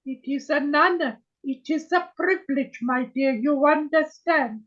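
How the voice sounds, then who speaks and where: A trance medium channeling a gentle female spirit sister on a video call, speaking in a solemn, old-fashioned way and calling everyone my dear. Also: high-pitched, dull audio. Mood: solemn, tender. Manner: slow, solemn, archaic phrasing, keeps saying my dear.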